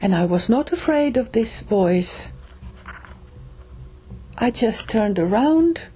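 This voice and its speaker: A middle-aged woman with a Finnish accent, telling a personal story slowly, with pauses and restarts. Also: on the high side, expressive, dark tone, slight background noise. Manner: slow, halting, with pauses and restarts. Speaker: reader and storyteller.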